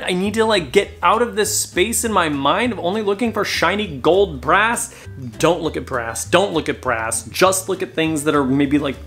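A man in his thirties filming a casual haul vlog in a cluttered storage room, talking straight to the camera in an animated, self-mocking way. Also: on the high side. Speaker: reseller vlogger.

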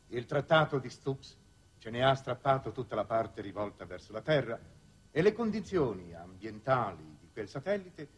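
Husky, ceremonious government minister. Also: male voice, medium pitch. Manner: ceremonious, deliberate official address. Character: solemn male minister for extraterrestrial territories. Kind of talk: 1960s Italian television drama acting. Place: television studio set.

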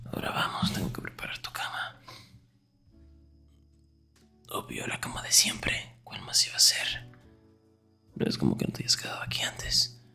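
A man acting a grumpy, jealous, protective young best friend in an intimate Spanish-style ASMR roleplay, breathy and often whispering, defensive and sarcastic. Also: normal speed, pauses often, bright, forceful tone, faint music behind. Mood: teasing, sarcastic.

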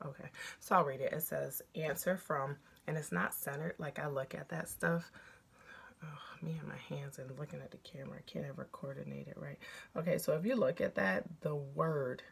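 A woman in her thirties with a raspy voice, chatting frankly through a product review, filmed on a phone at arm's length in a small room. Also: low voice, steady tone. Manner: chatty, frank, full of "um" pauses. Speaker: beauty vlogger.

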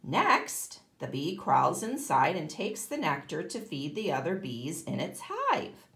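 A woman's voice: deep voice, wildly swooping pitch, unhurried, vocal fry, a bit bright, roomy sound. A woman teaching kindergarten, reading a children's book aloud expressively and explaining it to young listeners. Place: indoor room, at a table.

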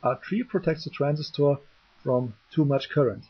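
A man narrating an explanatory electronics tutorial in a methodical, slightly halting way, on a dull lo-fi homemade microphone. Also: on the high side, slightly rough. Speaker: electronics hobbyist presenter. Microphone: cheap DIY microphone, voice-over.

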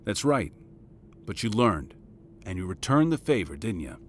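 A man acts out a military father for an audio story. His voice sits low, with a measured, fatherly delivery that is fond and encouraging. A little noise sits behind him. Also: very animated. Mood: firm, encouraging.

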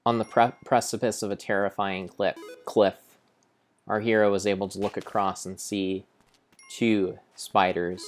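A young man with a very deep voice narrates gameplay as if reading a heroic storybook. His delivery is deliberate and halting, with mock-grand phrasing made up as he goes. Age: young adult. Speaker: game streamer. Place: indoor room at home.

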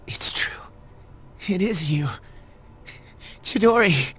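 Slangy, emotional high school boy, game drama; swinging pitch, unhurried pace, some noise behind. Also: animated, a bit bright, exaggerated voice. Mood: hesitant, overwhelmed. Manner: casual, slangy. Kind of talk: scripted game voice acting.

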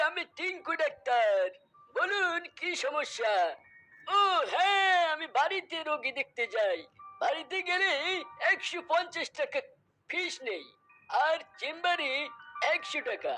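A woman voicing a gullible, impatient, sweet-loving doctor in a comedy cartoon, with a brisk, querulous manner. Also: extremely high pitch, animated, sharp tone, faint music behind, full character voice, rough recording. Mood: businesslike. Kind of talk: comedy cartoon character dialogue.

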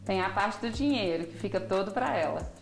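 Earnest mother explaining calmly. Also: medium pitch, fairly quick, vocal fry, echoey room, faint music behind. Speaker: interviewed mother. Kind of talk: casual interview answers. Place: home kitchen indoors. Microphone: reporter's handheld mic.

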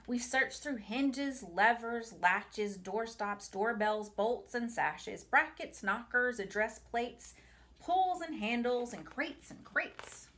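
A woman in her forties reading a picture book aloud to children in an expressive, deliberate way, with asides to her young listeners. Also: on the high side. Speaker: storytime reader for a museum family programme. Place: small home room, indoors.

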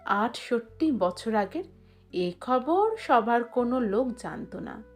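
A woman's voice: fairly high pitch, very animated, slow pace, faint music behind. A woman reading a literary short story aloud as a scripted narration.

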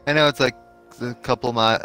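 A man's voice: medium pitch, fairly even intonation, fairly quick, sharp tone. A man streaming a game, chatting casually with his friends as he plays. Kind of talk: casual gaming chat with friends. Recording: game music behind the voice. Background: video game music.

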